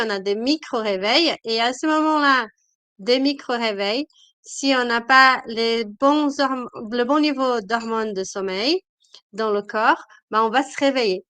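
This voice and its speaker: A woman's voice: fairly high pitch, fairly bright tone, clean tone, dry recording. A woman with a cold, hosting a live-stream talk as a wellness coach, speaking hesitantly with many fillers. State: has a cold.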